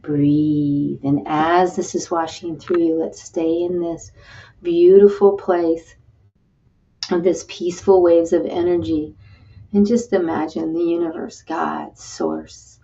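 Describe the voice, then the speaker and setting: Slow, gravelly-voiced woman guiding a meditation. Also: on the low side, fairly even intonation, warm tone, far from the mic, slight background noise. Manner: slow, soothing, repetitive breath cues. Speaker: meditation guide. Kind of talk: guided healing meditation. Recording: dull lo-fi sound, voice set back from the mic.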